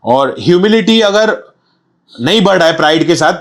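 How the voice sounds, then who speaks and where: A man's voice: high-pitched, expressive, normal speed. A young man, a guest on a podcast, speaking candidly and reflectively in casual street slang. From India. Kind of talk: casual interview chat.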